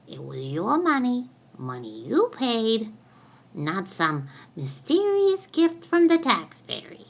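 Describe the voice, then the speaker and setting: A woman playing a patient, motherly explainer who gently corrects and teaches in a storybook skit. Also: on the high side, wildly swooping pitch, slow pace, voice pushed up, exaggerated voice. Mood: patiently explaining.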